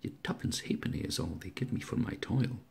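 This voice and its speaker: A man reciting as a mild, husky aged man. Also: fairly low pitch, pitched above the performer's own voice. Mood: mild, proud. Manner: slow, mild, rambling. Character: very old, mild, muttering poor odd-job man.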